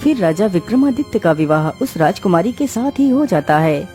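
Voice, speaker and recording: A woman's voice: lowish voice, very animated, moderate pace, dark tone. A woman reading scripted storybook narration for a cartoon. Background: clear background music.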